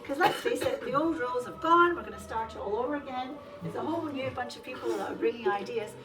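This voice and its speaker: A woman's voice: high voice, quick pace, distant mic, over music. A woman whose first language is Polish, hosting a festive dinner and speaking to the guests with a playful, teasing, laughing manner. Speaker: event host. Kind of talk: lively hosting speech to a crowd. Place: large indoor café hall. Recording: crowd chatter in a large hall. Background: crowd of dinner guests.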